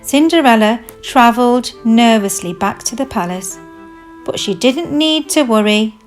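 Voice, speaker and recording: A woman narrating a children's storybook in a measured, expressive read-aloud manner. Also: fairly high pitch. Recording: music behind the voice. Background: music.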